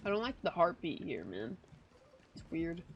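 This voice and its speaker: A woman's voice: on the low side, big pitch swings, normal speed, gravelly, muffled recording, a little hiss or hum. A woman streamer reading game text aloud and reacting casually, in an irreverent, slangy way.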